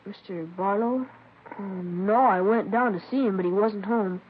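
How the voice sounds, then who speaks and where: A woman's voice: mid-range voice, normal speed, slight background noise, close to an everyday voice. Evasive runaway kid faking who he is , a woman doing the boy, chatty and offhand, dull old radio sound. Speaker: boy impostor. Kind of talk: 1950s radio drama acting. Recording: dull vintage radio recording.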